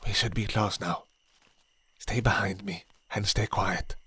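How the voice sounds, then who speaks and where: A man's voice: fairly low pitch, fairly even intonation, unhurried, bright, forceful tone, husky, no room sound, heavily acted. A man voicing a loyal thrall captain who reports in a clipped, dutiful way. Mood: hushed.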